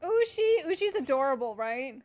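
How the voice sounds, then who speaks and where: Very high-pitched, gushing woman gamer. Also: sing-song, moderate pace, a little mellow. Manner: gushing, exclamatory, swearing. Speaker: gaming streamer reacting to cutscenes. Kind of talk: excited casual playthrough reaction.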